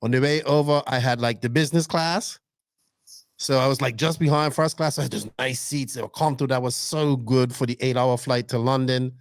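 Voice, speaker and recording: A man hosting a casual podcast, animated and teasing, rambling through his stories. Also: mid-range voice, a little fast, no echo, clean studio sound. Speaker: podcast host. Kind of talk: casual chat.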